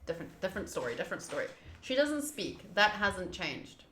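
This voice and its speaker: A woman's voice: fairly low pitch, sing-song, brisk, noisy background. A woman streaming a game, reading visual novel text aloud and slipping into chatty, casual asides to viewers. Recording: roomy echo. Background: game music.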